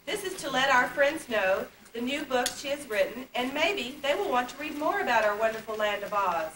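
A young princess in a stage puppet play voiced by a woman speaks in a high, eager, sweetly coaxing voice. She is polite and earnest, projecting to the room. The amateur recording is echoey and noisy. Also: animated, normal speed, far from the mic. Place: indoor convention stage, distant camera.

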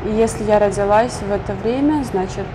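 A woman's voice: medium pitch, fairly quick. A young woman from Uzbekistan, a guest in a casual interview, answering in an earnest, thoughtful way from a lounge. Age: young adult. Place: lounge with leather sofas and bookshelves, indoors. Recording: noisy room ambience.

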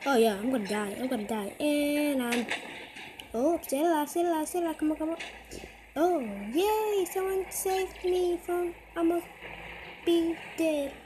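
A teenager giving casual, excitable commentary while playing a mobile game, repeating short phrases and calling out to other players. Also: high voice, background noise. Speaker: gamer. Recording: game music loud behind voice.

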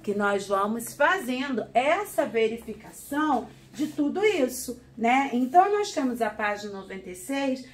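A woman's voice: a little high, sing-song. Woman teacher counting aloud for small children. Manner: slow, sing-song counting, repeating each number for children. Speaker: primary school teacher. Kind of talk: remote lesson for young children. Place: classroom with wall charts, indoors.

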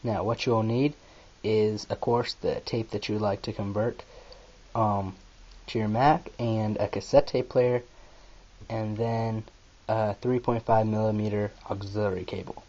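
A man's voice: lowish voice, steady tone, measured pace, darkish tone, dull audio. A man narrating a step-by-step computer tutorial as its host.

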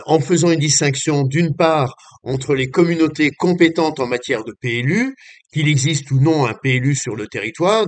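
A man in his sixties with an accent from France, giving detailed, formal explanations as an invited expert on a video call. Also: medium pitch. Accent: French from France. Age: 60s. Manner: methodical, formal, long careful sentences. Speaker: invited expert, legal specialist. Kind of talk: detailed explanatory interview answers. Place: small home room, on a video call.

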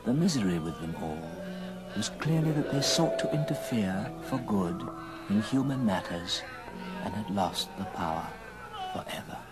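A man narrating a story in an old radio drama, measured and theatrical. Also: lowish voice, animated. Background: music.

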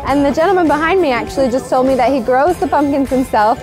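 Female travel host, thirties, high voice, keen explainer to camera, clear music bed underneath. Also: fast, rough recording. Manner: enthusiastic, explanatory. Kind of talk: upbeat travel vlog presenting to camera. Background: background music.

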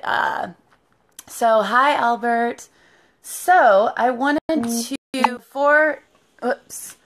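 A woman in her thirties, a therapist, with a raspy, hoarse voice, talking casually to viewers on a live stream and greeting them by name. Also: fairly low pitch, a bit bright. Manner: chatty, greets viewers by name mid-sentence. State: hoarse from shouting. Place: living room with sofa, indoors. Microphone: phone camera at arm's length.

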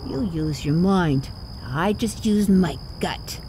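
A woman's voice: lowish voice, slow, warm tone, a little hiss or hum. An actress playing a feisty, embittered elderly village woman in an audio drama, blunt and folksy. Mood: indignant, gossipy.

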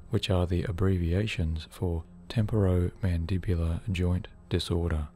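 A man narrating a guided sleep hypnosis session in a soothing, measured, deliberate way, as a hypnotherapist. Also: deep voice, warm tone. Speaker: hypnotherapist host. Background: background music.

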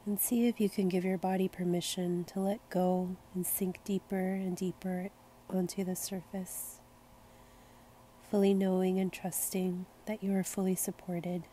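Mellow low-voiced woman guiding meditation. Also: level tone, a little hiss or hum. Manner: gentle, soothing, unhurried invitations. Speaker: guided meditation guide. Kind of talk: slow guided meditation narration.

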